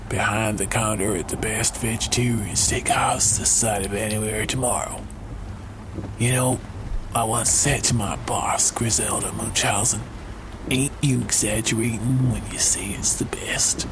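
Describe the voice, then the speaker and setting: A man's voice: lowish voice, steady tone, measured pace, noisy background. A man playing a folksy small-town late-night radio host in a comic audio drama, with a rambling, homespun delivery.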